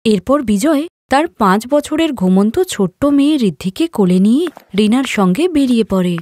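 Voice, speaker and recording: Woman narrator, scripted moral tale, fairly high voice, dry studio-like sound. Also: lively intonation, moderate pace, warm tone, no echo. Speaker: storyteller, narrator.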